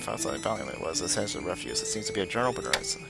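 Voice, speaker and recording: A man with a fairly low voice is streaming a game. He reads on-screen text aloud and drops in his own remarks, with music clearly playing behind him. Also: expressive, rapid-fire.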